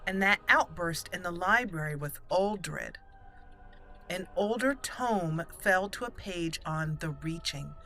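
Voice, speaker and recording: A woman hosting a roleplay game playthrough, commenting and reading aloud deliberately as she thinks. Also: lowish voice, expressive, bright, forceful tone. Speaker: let's play host. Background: game music.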